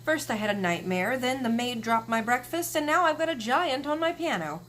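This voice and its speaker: A woman voicing a commanding, unflappable storybook queen in a brisk, matter-of-fact manner. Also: fairly high pitch, lively intonation, a bit bright, slight background noise.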